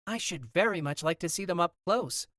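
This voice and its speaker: A man's voice: high voice, expressive, moderate pace, fairly bright tone, dry recording, clean studio sound, pitched above the performer's own voice. A man voicing a curious, spirited young noblewoman with a lightly raised voice. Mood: wondering.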